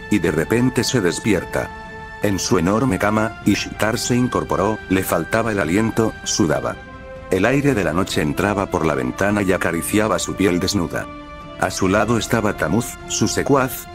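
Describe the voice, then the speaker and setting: A man reading a fanfiction story aloud as scripted narration, measured and delivered in short phrases. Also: lowish voice. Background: soft background music.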